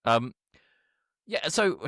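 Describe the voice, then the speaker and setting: A man recapping a film casually as a podcast co-host, halting and correcting himself, with an irreverent edge. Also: medium pitch, big pitch swings, a bit bright, dry recording, studio quality. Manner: halting, hesitant, self-correcting delivery.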